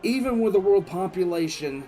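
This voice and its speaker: A man's voice: high-pitched, expressive, normal speed, mellow. Man acting a brooding veteran military commissar over music. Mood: bitter, resolute. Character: grim veteran military commissar recounting a past battle. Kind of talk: dramatic first-person audio drama storytelling. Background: background music.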